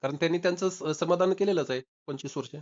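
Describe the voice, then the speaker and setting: A man talks very quickly on a recorded phone call with dull, lo-fi sound. He argues his side insistently, repeating points as he goes, in a slightly high voice. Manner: insistent, argumentative, repeating himself. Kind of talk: informal debate.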